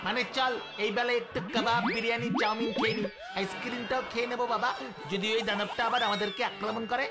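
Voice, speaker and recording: Someone doing a silly greedy cartoon kid, cheeky whiny rambler, loud music under it. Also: medium pitch, steady tone, quick pace, close to an everyday voice. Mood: greedy, fretful. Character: mischievous, food-loving, silly chattering young boy sidekick. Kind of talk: Bengali cartoon voice acting.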